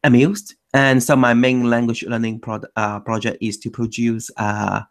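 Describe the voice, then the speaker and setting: A man's voice: mid-range voice, flat delivery, measured pace. A man hosting an online language meetup in a loose chat, restarting his sentences often. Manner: loose, self-correcting, many restarts. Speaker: meetup host. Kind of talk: casual chat.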